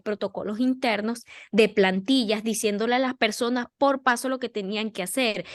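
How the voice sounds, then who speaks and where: A woman co-hosting a podcast, telling stories in a lively, casual way with many fillers. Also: on the high side. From Venezuela. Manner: animated storytelling with many fillers. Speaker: podcast co-host. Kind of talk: casual chat.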